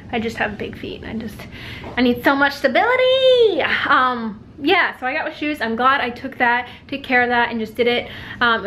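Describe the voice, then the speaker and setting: A young woman talks into a handheld compact camera, chatty and upbeat, with a voice that sits a little high. Her talk rambles loosely and is full of "likes." A faint hum of room ambience lies under her voice. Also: fairly bright tone. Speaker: vlogger. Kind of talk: casual vlog chat. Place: at home and out and about.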